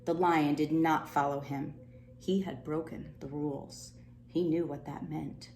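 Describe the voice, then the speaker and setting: A woman reading a children's storybook aloud in an expressive narrating style. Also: low-pitched, measured pace, vocal fry. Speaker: storybook reader. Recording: roomy echo, faint background noise.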